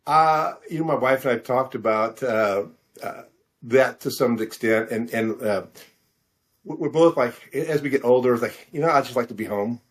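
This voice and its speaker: Older American man, halting and rambling. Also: medium pitch. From Missouri, United States. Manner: halting, self-correcting, rambling. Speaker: guest, fiction author and physician. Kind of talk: casual interview conversation.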